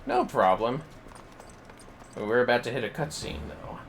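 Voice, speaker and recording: A man's voice: medium pitch, lively intonation, moderate pace, a little hiss or hum. A man in his thirties chatting casually and jokingly as he livestreams a video game, with theatrical bits. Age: 30s. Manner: jokey, theatrical, slips into bits.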